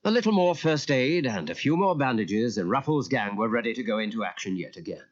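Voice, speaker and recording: A man giving an animated narration of a children's story as a storyteller. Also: mid-range voice, brisk. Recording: muffled old audio cassette.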